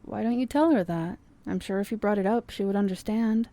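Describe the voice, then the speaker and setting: A woman acting in an audio drama, playing a calm, soothing young woman with a wry streak. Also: mid-range voice, brisk, natural voice. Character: calm, teasing young woman, terminally ill.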